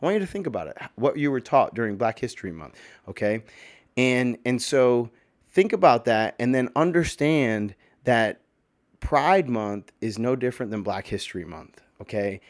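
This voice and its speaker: A man with a slightly hoarse voice, talking in a casual, rambling opinion monologue full of fillers, with emphatic bursts and swearing. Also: mid-range voice, expressive, normal speed.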